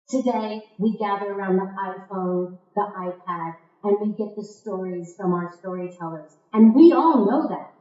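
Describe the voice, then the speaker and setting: A woman speaking to a conference audience like a theatrical storyteller, with rhetorical questions and emphatic pauses, in a very echoey, muffled recording. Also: medium pitch, darkish tone. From Croatia. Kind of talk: marketing keynote to a live audience. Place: indoor conference stage.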